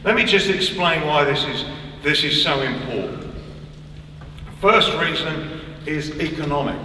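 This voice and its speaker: A man's voice: on the high side, pushed and bright, a little hiss or hum. Older man, emphatic speech in an echoing hall. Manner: emphatic, deliberate, with measured pauses and repetition. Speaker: speaker at a political conference. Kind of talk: public speech. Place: large hall on stage. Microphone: stand mic at a lectern, heard from a distance. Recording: echoey hall, distant mic.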